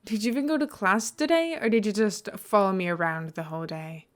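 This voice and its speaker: A woman's voice: mid-range voice, fairly quick, lightly acted. A woman voicing an exasperated young male computer science student in a story, dry and teasing. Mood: wry, teasing.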